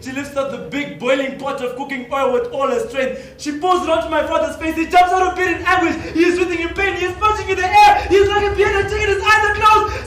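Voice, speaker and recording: Very high-pitched man in dramatic stage monologue. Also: fairly bright tone. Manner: intense, emotive storytelling with emphatic short phrases. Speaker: stage actor narrating as a child. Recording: roomy stage recording with faint noise.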